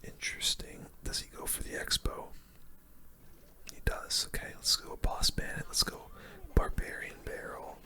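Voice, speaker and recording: A gamer whispering softly and breathily into a close microphone, giving ASMR-style running commentary on play while musing aloud. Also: measured pace. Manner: soft whispering, mild swearing, musing aloud. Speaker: gaming video creator.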